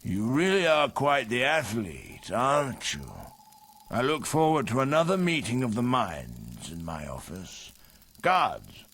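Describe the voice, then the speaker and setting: Slow, pompous male prison warden. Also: medium pitch, fairly bright tone, exaggerated voice. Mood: smug. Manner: pompous, theatrical declaiming with trailing endings. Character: pompous prison warden and vain amateur poet. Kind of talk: theatrical video game acting.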